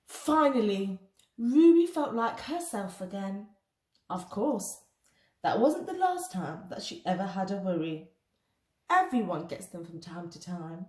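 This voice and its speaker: Expressive, slow-paced woman reading a storybook. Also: mid-range voice. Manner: dramatic, deliberate delivery with pauses for young listeners. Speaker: storybook reader. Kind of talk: expressive children's picture book read-aloud. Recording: echoey room.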